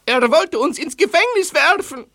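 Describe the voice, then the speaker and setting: A woman playing an earnest young Native man from Mexico in an audio drama, speaking in broken, halting phrases. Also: extremely high pitch, expressive, fairly bright tone, full character voice. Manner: broken, halting foreign speech.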